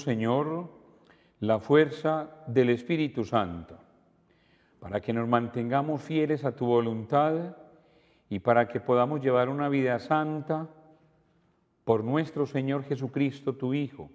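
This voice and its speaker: An older priest leads Mass in a calm, measured voice of middle pitch. His prayers carry a long reverb through the church. The delivery is steady and liturgical. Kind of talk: celebrating Mass. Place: church altar, indoors.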